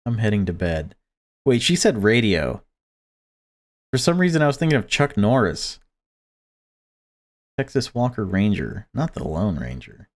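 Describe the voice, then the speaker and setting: A man's voice: mid-range voice, sing-song, unhurried, pauses often. A man reading a visual novel aloud as a let's player, breaking off into casual commentary.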